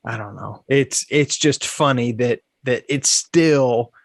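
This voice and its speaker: A man with a slightly stuffy, congested voice chats casually as a podcast host. He sounds relaxed and thinks out loud as he goes. The sound is dry and close, with no room echo. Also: mid-range voice, slow, gravelly. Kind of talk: casual sports chat.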